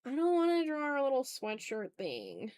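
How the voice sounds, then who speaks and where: A woman's voice: sits high, wildly swooping pitch, moderate pace, a little mellow, no echo, clean studio sound. A woman from the American South chatting casually on an art livestream, self-deprecating and playfully exasperated. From Southern United States. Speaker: streamer. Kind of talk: casual livestream chat while drawing.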